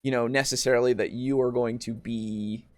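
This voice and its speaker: A man speaks in a casual podcast discussion, at a middling pitch with very little room sound. He rambles and halts as he explains things, swearing freely. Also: steady tone, moderate pace, a little mellow, no echo, clean studio sound. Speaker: podcast co-host. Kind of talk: casual discussion of tabletop roleplaying.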